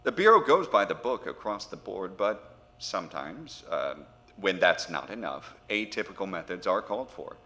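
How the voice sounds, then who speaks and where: An actor playing an earnest, diplomatic federal agent in an audio horror drama, speaking politely and persuasively. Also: male voice, sits low, very animated, moderate pace, sharp tone, full character voice. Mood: diplomatic, insistent. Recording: very reverberant, with noise behind.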